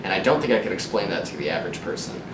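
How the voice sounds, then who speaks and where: A man's voice: low voice, expressive, very fast, recorded from a distance. A young man co-hosting a casual chat, talking in a wry, irreverent, sweary way. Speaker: co-host. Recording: echoey noisy room, off mic.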